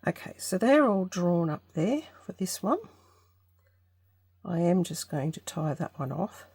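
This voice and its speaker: A woman's voice: on the low side, sing-song, darkish tone, breathy edge, no room sound. Congested woman thinking aloud over crafts. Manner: unhurried, tentative, thinking aloud. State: congested from allergy. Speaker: craft channel host. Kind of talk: casual craft tutorial vlog.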